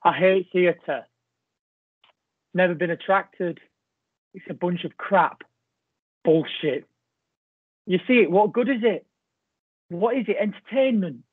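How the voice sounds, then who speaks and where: A man's voice: sits high, dry recording. An actor playing a bitter, crude old rural man, delivering a blunt, contemptuous, rambling stage monologue. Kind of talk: theatrical monologue in a play reading. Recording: thin phone-like band-limited audio.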